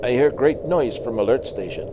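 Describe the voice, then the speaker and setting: A man's voice: mid-range voice, expressive, moderate pace, background noise, close to an everyday voice. A man voicing a friendly, helpful hunter guide from a comic book, speaking in terse, simple broken phrases. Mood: plain, helpful. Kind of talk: comic book read aloud.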